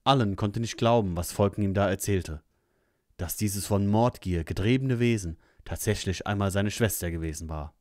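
A man's voice: on the low side, a little mellow, no echo, studio quality. A man reading scripted narration for an audio drama.

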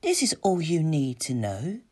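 Man putting on a high-pitched cruel enchantress. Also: huge pitch swings, a little slow, full character voice. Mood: coaxing. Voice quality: soft when coaxing.

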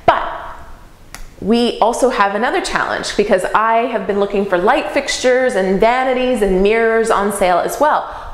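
A woman in her thirties, talking to camera in a casual, upbeat vlog, standing in an empty bedroom. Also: medium pitch. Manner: upbeat, chatty, practical. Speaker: real estate investor vlogger. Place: empty bare-walled bedroom indoors. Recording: echoey empty room.

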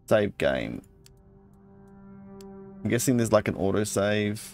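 Man casually narrating his gameplay, lively pitch. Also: slow pace, soft background music. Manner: muttering to himself, reading out item text. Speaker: let's play host. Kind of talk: casual gameplay commentary, thinking aloud.

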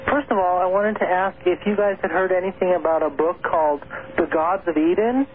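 A man calling into a radio show, asking questions in an eager, quick way. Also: high-pitched. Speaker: caller. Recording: tinny phone line with faint hiss.

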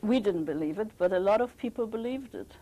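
An older woman from Belgium giving an oral history testimony, speaking haltingly with frequent restarts. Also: medium pitch, lively intonation, a crowd in the background. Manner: hesitant, halting, many restarts.

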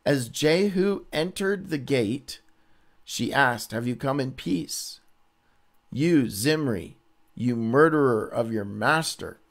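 A man's voice: mid-range voice, lively intonation, takes it slowly. A man reading the Bible aloud as a teacher, dramatizing the quotes and adding informal commentary. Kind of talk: animated Bible read-along with casual commentary.

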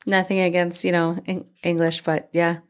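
A woman's voice: on the low side, little pitch movement, normal speed. A woman co-hosting a podcast in casual chat, chatty and teasing, laughing often. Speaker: podcast co-host.

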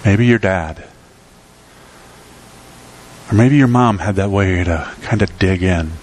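A middle-aged man preaching in a church, speaking from a lectern with emphatic, rhythmic delivery that swings between loud peaks and quiet drops. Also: takes it slowly, slight background noise. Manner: emphatic preaching with sweeping pitch and volume swings. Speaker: pastor. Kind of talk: sermon. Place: church sanctuary.

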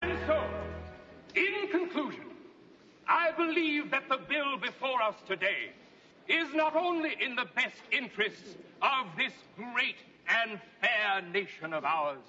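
An actor playing a pompous, indignant gentleman congressman, speaking in grand theatrical oratory for a television tall tale. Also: very high-pitched, expressive, a little slow, soft background music, full character voice. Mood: pompous, oratorical. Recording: echoey hall, dull old TV sound.

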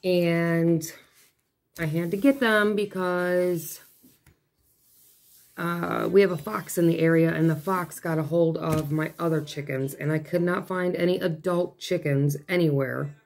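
A woman with a hoarse, failing voice chatting casually at her dining table as she unboxes craft kits, rambling and breaking off mid-thought. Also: on the low side, steady tone, unhurried, a little mellow. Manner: rambling, chatty, self-interrupting. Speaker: craft vlogger. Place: home dining room, seated at a table.

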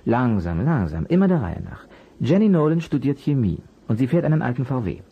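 A calm, clever detective from a children's radio play. His voice has a slightly raspy grain and moves with a lively melody. He explains patiently, step by step, in a friendly way to children. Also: mid-range voice, fairly quick, muffled recording. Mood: patient explaining.

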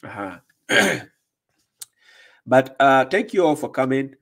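A man's voice: mid-range voice, big pitch swings, slow pace, gravelly, a bit bright. A man in his thirties hosting a casual livestream, reading viewer comments aloud with many "uh"s and "right?"s, his voice slightly worn from a recent cold. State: recovering from losing his voice. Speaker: livestream host. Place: dark room with curtains, indoors. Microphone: desk condenser mic in front.

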